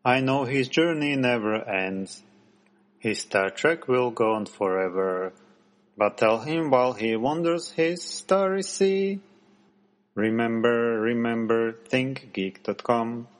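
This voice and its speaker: A man's voice: mid-range voice, animated, slow pace. A man singing a song as an amateur contest entrant. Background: music backing.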